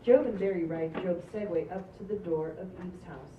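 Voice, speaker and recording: A woman's voice: lowish voice, normal speed. A woman reading out stage directions at a live script reading. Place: small stage in a room. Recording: distant, echoey, dull recording with clear noise.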